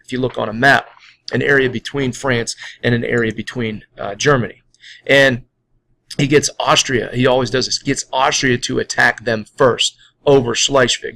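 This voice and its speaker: An American man with a noticeably gravelly, creaky voice at an average male pitch. He teaches like a history instructor giving an informal recorded lecture, explaining things conversationally and often circling back to restate a point. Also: a bit bright, poor audio. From United States.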